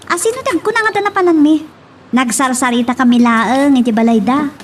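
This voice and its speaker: A woman playing an evasive, excitable middle-aged mother in an Ilocano audio drama, with an Ilocano accent. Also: high-pitched, a little fast, slight background noise, close to an everyday voice. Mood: defensive. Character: evasive, excitable mother caught hiding her plans.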